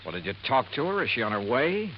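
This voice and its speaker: An actor playing a hard-boiled investigator who narrates his own case in a 1950s radio drama, crisp and brisk. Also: mid-range voice, very animated, a little hiss or hum, close to an everyday voice. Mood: probing. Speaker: narrator and lead detective. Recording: muffled old radio broadcast with music.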